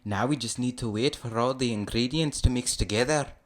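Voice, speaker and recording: A man's voice: medium pitch, brisk, voice pushed up, natural voice. Big green ogre lover, played by a male reader: amateurish Scottish accent, sweet, flirtatious, comic storybook romance. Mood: casual, coaxing. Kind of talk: comedic fan fiction read aloud.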